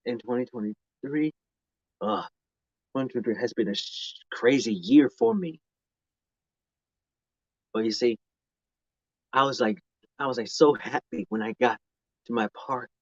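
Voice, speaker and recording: A man's voice: mid-range voice, animated, measured pace, gravelly, long pauses, no echo, highs cut off. A man in his mid-twenties chatting casually to viewers on a live stream outdoors, rambling and often repeating or restarting his phrases.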